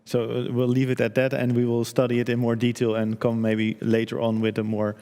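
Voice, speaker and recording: Flat-voiced male moderator in a chapel. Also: medium pitch, fairly quick. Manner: hesitant, many fillers. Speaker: event host, moderator. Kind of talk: moderating a livestream event. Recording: long reverb of a chapel.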